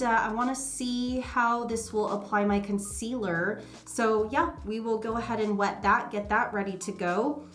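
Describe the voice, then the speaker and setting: Woman beauty vlogger, nasal with a cold, loose chatty delivery, echoey room. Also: on the high side. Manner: chatty and rambling, many ums and uhs. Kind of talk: casual makeup vlog chat.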